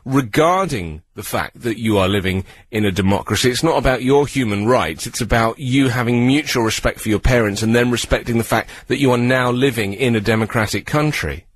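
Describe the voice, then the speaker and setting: A man with a British accent hosting a radio phone-in, giving earnest conversational advice and posing questions in a measured way. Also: medium pitch, slight background noise. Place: radio studio.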